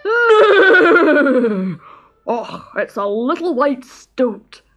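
High, exclaiming wild pony, done by a woman. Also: expressive, measured pace, highs cut off, soft background music. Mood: amused surprise. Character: startled, amused wild pony.

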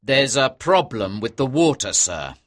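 A woman's voice: very deep voice, very animated, unhurried, pitched below the performer's own voice, full character voice. A woman voicing an earnest, dutiful male naval officer in a storybook, with a firm, respectful, serious manner. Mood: respectful, concerned.

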